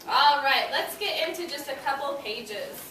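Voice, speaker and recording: A woman's voice: high voice, expressive, normal speed, bright, forceful tone. A woman teaching young children in an interactive read-aloud lesson, addressing them directly with short prompts and questions. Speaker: elementary school teacher. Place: classroom. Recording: roomy, distant mic with background noise.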